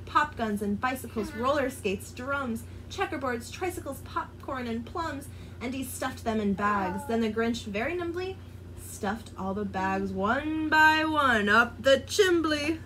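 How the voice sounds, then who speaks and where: A woman's voice: fairly high pitch, a little slow, a little hiss or hum, low-quality recording. Woman reading a rhyming storybook aloud. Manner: expressive, sing-song rhyming delivery. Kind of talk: reading a children's book aloud.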